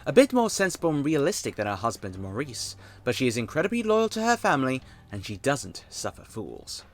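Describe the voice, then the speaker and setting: Animated man reading a scripted announcement. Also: mid-range voice, fairly quick. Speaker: creator of a fan audio drama series. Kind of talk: scripted casting-call narration.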